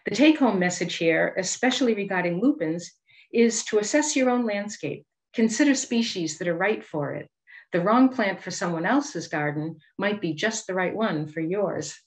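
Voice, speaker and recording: A middle-aged woman with a Boston accent gives a scripted educational presentation over a video call, measured and articulate. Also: mid-range voice, expressive, poor audio. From Boston area, United States. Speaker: master gardener giving a presentation.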